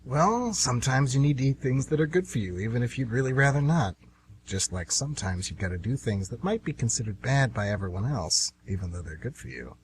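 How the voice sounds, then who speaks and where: A man acts a sly, knowing dinner companion in a radio play. His mid-pitched voice is wry and worldly, with faint hum and noise on the recording. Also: animated, a little fast, lightly acted. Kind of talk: amateur radio play acting.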